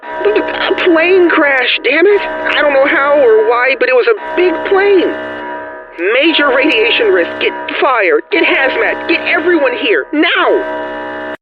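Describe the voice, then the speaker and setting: A man's voice: extremely high pitch, sing-song, takes it slowly, heavily acted. A man playing a panicked engineer on an emergency call in an audio drama, stammering and urgent through a telephone filter. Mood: angry desperation. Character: panicked male nuclear engineer reporting a disaster. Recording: tinny phone line with music behind.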